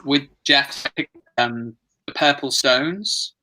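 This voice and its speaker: A man's voice: medium pitch, very slow, bright, forceful tone, low-quality recording. A man taking part in a casual workshop discussion, thinking aloud hesitantly. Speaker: workshop participant.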